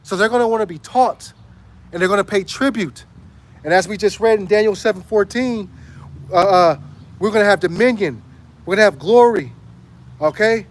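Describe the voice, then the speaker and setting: A man preaching outdoors in an emphatic street sermon style, repeating lines and checking in with "right?", his voice going hoarse. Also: sits high, normal speed, pauses often.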